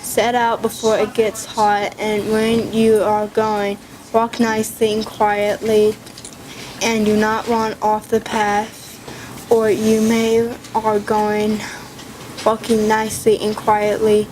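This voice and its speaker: A woman's voice: mid-range voice, completely flat delivery, slow, a little mellow, a little hiss or hum, lightly acted, poor audio. A woman reading the part of a caring mother giving her daughter instructions, hurrying and stumbling over words. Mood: instructing.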